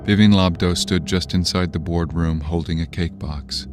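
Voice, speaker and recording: An actor playing the narrator of a scripted audio drama about a corporate boardroom. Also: male voice, sits low, fairly even intonation, moderate pace, over music, close to an everyday voice. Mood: measured. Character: male story narrator of a corporate horror drama.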